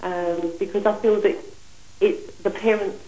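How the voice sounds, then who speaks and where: A middle-aged woman, calling a phone-in and giving her opinion in a calm, measured way. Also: mid-range voice, roomy sound, low-quality recording. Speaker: phone-in caller. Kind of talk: conversational opinion on a live call.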